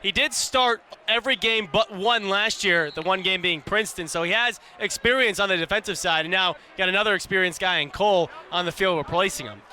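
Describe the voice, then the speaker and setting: A young man speaks as the analyst on a live outdoor radio sportscast with clear noise around him. His pitch is high for a male voice. He talks conversationally and makes his opinions emphatic. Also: brisk, few pauses, pushed and bright. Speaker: color commentator. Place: outdoor lacrosse stadium. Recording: noisy outdoor broadcast with stadium ambience.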